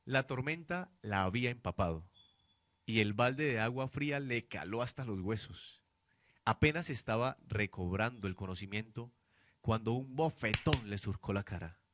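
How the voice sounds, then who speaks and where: Low-voiced young man narrating over noise. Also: animated, normal speed, fairly bright tone. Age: young adult. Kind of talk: dramatic scripted narration of a radio drama. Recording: noisy amateur student production.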